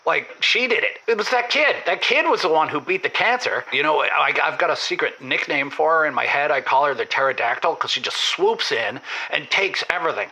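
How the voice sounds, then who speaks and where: A meek, put-upon husband on a comedy call-in. His voice is very bright and edgy with a lot of creak, slightly high, and he stammers and restarts as he airs his grievances. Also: lively intonation, full character voice, low-quality recording. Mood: aggrieved, unsure. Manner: halting, stammering, repeats words. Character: meek, aggrieved husband calling an advice show.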